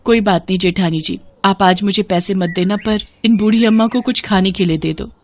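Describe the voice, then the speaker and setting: A woman's voice: mid-range voice, fairly even intonation, moderate pace, darkish tone, natural voice. A woman voicing a poor, kind village wife in a moral storybook tale, with an earnest, humble manner. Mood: meek, pleading. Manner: meek, earnest.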